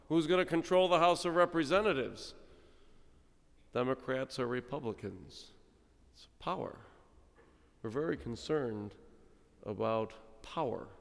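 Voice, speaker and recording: A middle-aged man, a priest, giving an animated, humorous sermon at a pulpit microphone, with sudden quiet drops. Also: mid-range voice, gravelly. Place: large church, speaking at a pulpit. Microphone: stand mic at pulpit. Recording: long church reverb.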